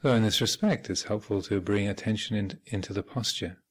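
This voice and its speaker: A man speaking as a meditation teacher, giving measured guided instruction with thoughtful pauses and gentle phrasing. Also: fairly low pitch, breathy edge. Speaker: Buddhist monk and meditation teacher leading a retreat. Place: meditation hall at a retreat center.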